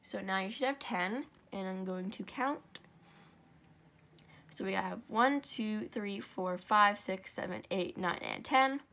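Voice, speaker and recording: A young woman with a congested, stuffy nose walks viewers through a crafting project step by step. Her talk is chatty and rambling, full of asides and quick self-corrections, with the easy tone of someone filming at home. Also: mid-range voice, gravelly, bright, forceful tone. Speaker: craft tutorial host.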